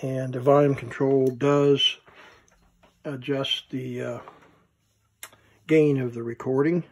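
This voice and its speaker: A man who collects vintage gear gives a casual, unscripted hands-on demonstration, thinking aloud in halting short fragments. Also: medium pitch, level tone, darkish tone, breathy edge. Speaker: vintage electronics collector presenting his item.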